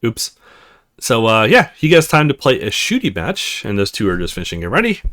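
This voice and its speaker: A man's voice: mid-range voice, huge pitch swings, brisk. A man hosting a gaming video, narrating a visual novel aloud and adding his own casual comments. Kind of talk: let's play narration, reading a story aloud. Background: soft guitar music.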